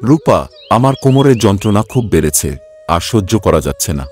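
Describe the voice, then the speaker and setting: A man's voice: fairly low pitch, lively intonation, fairly quick, darkish tone, faint music behind, close to an everyday voice. A man playing an earnest, plaintive middle-aged labourer father in a cartoon story, worn down but devoted. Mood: weary, pained. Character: weary, devoted village labourer father with back pain. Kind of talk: cartoon voice acting.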